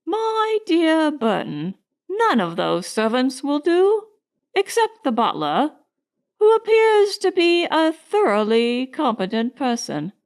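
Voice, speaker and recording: A woman narrator voicing a condescending, severe elderly upper-class lady in a storybook, with haughty, drawn-out emphasis. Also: very high voice, sing-song, pitched above the performer's own voice, heavily acted. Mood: haughty conviction.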